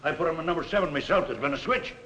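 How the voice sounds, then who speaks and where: Man playing a flustered, high-voiced morgue attendant. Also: rapid-fire, a bit bright, close to an everyday voice. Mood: flustered, defensive. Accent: American. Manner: folksy, ungrammatical. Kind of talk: 1940s Hollywood film acting. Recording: echoey room, faintly hissy old film.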